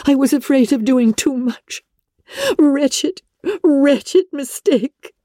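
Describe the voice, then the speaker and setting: A distraught young gentlewoman from an old novel, high shaky tearful voice, halting, blaming herself. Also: no room sound, clean studio sound. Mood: wretched regret. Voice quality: tearful, breaking voice. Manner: halting, agitated, dramatic. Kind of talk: dramatic audiobook reading of classic novel.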